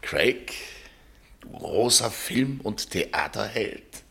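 Pompous master of house, swooping delivery. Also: male voice, on the low side, expressive, drawn-out words, vocal fry, fairly bright tone, exaggerated voice. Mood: smug. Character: John, arrogant, scornful wealthy male party host. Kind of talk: German radio crime drama acting.

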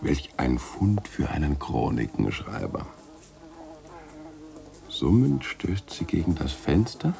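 An actor playing a jovial, teasing literary critic in a German radio play, husky and theatrical, speaking in florid, ironic phrases. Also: male voice, a bass voice, very animated, slow pace, long pauses, warm tone, exaggerated voice. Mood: musing, ironic.

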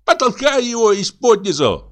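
A man voicing squabbling peasant fishermen in a shrill, high-pitched, urgent scolding voice for a story. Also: very animated, normal speed. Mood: bickering, urgent.

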